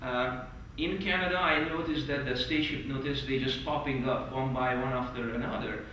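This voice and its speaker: A man's voice: mid-range voice, normal speed. A man with a Hungarian accent, whose first language is Hungarian, teaches a class with earnest, eager emphasis and a mid-range voice. He sounds far from the microphone, and the big hotel room rings with heavy echo. Faint room noise sits underneath. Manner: earnest, non-native phrasing, lively emphasis. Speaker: stage hypnosis instructor. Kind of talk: teaching a course to a group. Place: large hotel meeting room. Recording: echoey hall recorded from far off.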